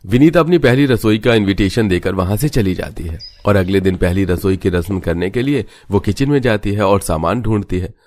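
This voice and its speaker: A man's voice: on the low side, a little fast, few pauses, darkish tone. A man reading scripted narration as a story narrator.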